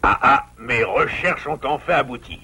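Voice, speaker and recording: Animated, scholarly cartoon father beaver. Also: medium pitch, moderate pace, husky, exaggerated voice. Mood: pleased, explanatory. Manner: pedantic, patiently explaining. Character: learned, kindly father beaver, absorbed in research. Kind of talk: French cartoon dub.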